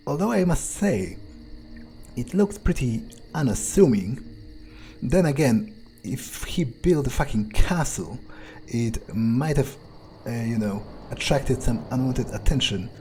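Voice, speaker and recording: Slow, mellow man musing in character. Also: mid-range voice, rough recording. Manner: deliberate, musing, thinking aloud. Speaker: gamer playing in character. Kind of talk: improvised in-character game commentary. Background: faint game music.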